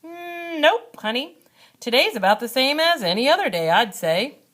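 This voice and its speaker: A woman's voice: high-pitched, big pitch swings, moderate pace, a bit bright, voice pushed up. A woman voicing a playful, teasing, adventurous dad with an eager, theatrical manner in a children's storybook. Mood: breezy. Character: playful, teasing adventurous dad spinning spooky monster tales. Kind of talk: children's storybook read-aloud.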